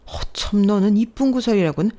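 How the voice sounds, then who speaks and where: A woman's voice: on the low side, lively intonation, moderate pace, natural voice. A woman voicing a domineering elderly mother-in-law who scolds and orders her daughter-in-law around. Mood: scolding. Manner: sharp, scolding, commanding.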